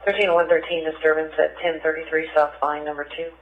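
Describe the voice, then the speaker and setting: A man's voice: fairly high pitch, flat delivery, a little fast, slight background noise. Man dispatching over phone-like police radio. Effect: two-way police radio.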